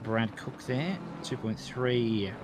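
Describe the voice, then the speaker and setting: A man's voice: medium pitch, slow, very noisy. Australian male race caller, mid-range voice, rolls through gaps and rises with the battles. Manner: running through gaps between cars, lifting with the action. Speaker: race commentator. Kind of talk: sim racing commentary.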